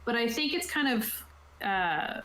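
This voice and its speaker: A woman's voice: fairly high pitch, expressive, measured pace, pushed and bright, a little hiss or hum. A woman casually explaining a tabletop game, partly reading from a rulebook, in an informal, conversational way. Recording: roomy, echoey sound.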